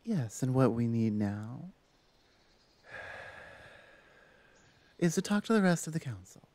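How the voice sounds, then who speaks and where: Dark-voiced, unhurried orc shaman played by a woman. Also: rumbling low, sing-song, exaggerated voice. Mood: grave. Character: confident orc shaman woman, the huntress's magic-wielding sister. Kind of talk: ASMR roleplay audio drama.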